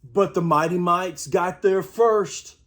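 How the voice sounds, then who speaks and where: An older man reading a children's storybook aloud with expressive, deliberate storytelling. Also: high-pitched. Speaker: grandparent storyteller. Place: outdoors.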